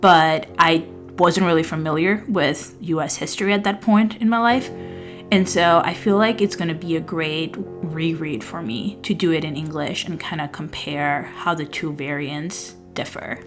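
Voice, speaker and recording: A woman with a fairly low voice, a native Albanian speaker, reviewing her month's books in a relaxed way. She moves between loose chat with the odd "um" and smoother read-out plot summaries, with soft music playing underneath. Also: normal speed. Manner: conversational, with fillers and restarts, partly read-out summaries. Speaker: book vlogger. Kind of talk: casual book review over journaling.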